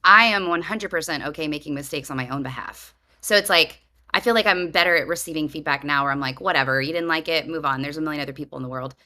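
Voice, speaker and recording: A middle-aged woman co-hosting a podcast, chatting casually in an animated, self-deprecating way and laughing easily. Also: deep voice, fast talker, few pauses. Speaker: podcast co-host. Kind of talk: casual chat.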